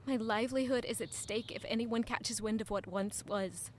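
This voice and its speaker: A woman's voice: on the high side, moderate pace, darkish tone, slight background noise, natural voice. An actress playing a guarded, wistful young lady-in-waiting in an audio drama, speaking earnestly and introspectively. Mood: quietly pleading. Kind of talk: audio drama narration and dialogue.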